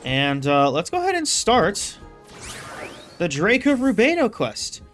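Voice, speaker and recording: A man's voice: high voice, wildly swooping pitch, measured pace, fairly bright tone, soft background music. A man giving casual, rambling game commentary, thinking aloud as he streams.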